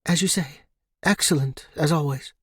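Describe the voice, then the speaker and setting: Young female dinner guest, read by a man: lifted pitch, careful, courteous, guarded storybook delivery. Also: normal speed, breathy edge, no echo, clean studio sound. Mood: polite. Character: polite young woman, guarded hired dinner companion.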